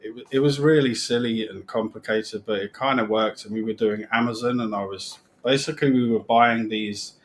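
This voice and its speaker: A man's voice: lowish voice, steady tone, normal speed, hardly any pauses. Rambling British man with a faint hiss. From England. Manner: rambling, self-interrupting, trailing off quietly. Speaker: guest. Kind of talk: casual live-stream chat.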